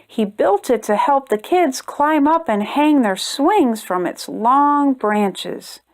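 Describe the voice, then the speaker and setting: A woman reading a children's storybook aloud with expressive, deliberate delivery. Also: sits high. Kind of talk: read-aloud storybook narration for young children.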